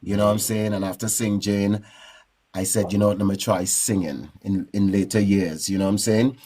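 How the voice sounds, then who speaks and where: A man from Trinidad with a Trinidadian accent, telling stories in a relaxed, rambling way as a guest in a casual interview. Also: on the low side, a little fast, slightly rough. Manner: relaxed, rambling, frequent "you know what I'm saying". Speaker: guest musician.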